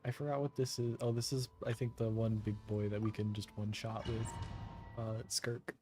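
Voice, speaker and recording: A man's voice: fairly low pitch, level tone, normal speed, over music. A man giving casual, rambling live commentary while streaming a game, muttering to himself.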